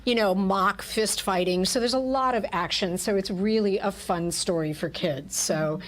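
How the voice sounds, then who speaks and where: A woman's voice: mid-range voice, moderate pace. A woman with a mid-range voice talks casually as a guest in a radio studio. She explains things in a chatty, conversational way, as someone representing a theatre troupe. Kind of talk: casual interview talk.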